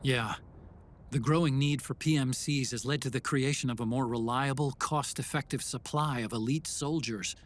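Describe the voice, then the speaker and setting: A man's voice: medium pitch, moderate pace, lightly acted. An actor playing an earnest, brainy male tech expert in a video game, speaking in an explanatory way. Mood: earnest, concerned. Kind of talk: video game cutscene acting.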